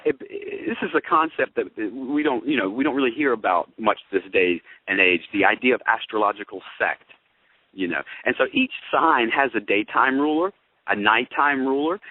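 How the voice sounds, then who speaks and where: A man's voice: mid-range voice, sing-song, fairly quick, no room sound. Man on a tinny phone line, folksy explainer. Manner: explanatory, folksy, addresses listeners with tag questions. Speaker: co-host, astrologer. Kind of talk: conversational astrology commentary. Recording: tinny, phone-like radio-show audio.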